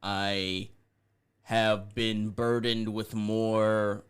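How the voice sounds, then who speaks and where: A man's voice: on the low side, level tone, very slow, smooth. A man co-hosting a podcast in casual banter, teasing and sarcastic. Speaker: podcast co-host.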